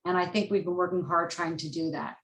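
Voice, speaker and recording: A woman's voice: lowish voice, fairly even intonation, fairly quick, a bit bright. A woman professor speaking off the cuff in a panel discussion, earnest and often breaking off to rephrase herself. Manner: earnest, rambling, self-interrupting. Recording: roomy, echoey video-call sound.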